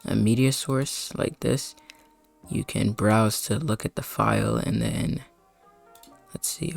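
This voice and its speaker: Man with heavy vocal fry for a casual screen walkthrough, deep-ish, faint music underneath. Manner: loose and unscripted, many fillers, trails off mid-sentence. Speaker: streamer giving a tutorial. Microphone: desktop mic at a computer.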